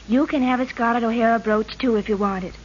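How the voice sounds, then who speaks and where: A woman's voice: a little high, steady tone, fast talker, close to an everyday voice. An actress playing a pleased, confiding woman in a scripted 1940s radio commercial. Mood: confiding, selling. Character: pleased, confiding woman showing off to her friend. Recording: dull, hissy old radio recording.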